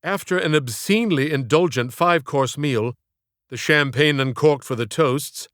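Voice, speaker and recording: Male narrator, literary audiobook, crisp tone, very dry close sound, theatrical and measured. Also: on the high side, a bit bright, no room sound. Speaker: author narrating own audiobook.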